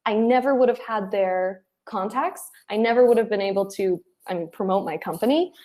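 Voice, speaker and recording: Woman guest on an interview, kinda high voice, animated, chatting through advice and stories. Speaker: interview guest, head of client acquisition. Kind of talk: conversational interview, advice with personal anecdotes.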